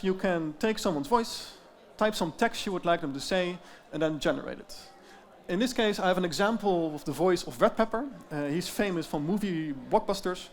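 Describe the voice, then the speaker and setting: A man with a Dutch accent giving an explanatory presentation, often pausing with fillers. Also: fairly high pitch. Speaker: tech founder giving a talk. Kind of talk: presentation talk.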